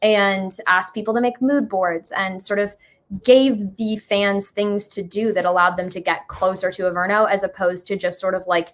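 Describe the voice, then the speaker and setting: A woman's voice: mid-range voice, fairly even intonation, moderate pace. A woman in her twenties talking casually as an interview guest, in a chatty, informal way. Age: 20s. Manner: chatty, informal, hedging with "like" and "sort of". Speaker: musical writer and guest being interviewed.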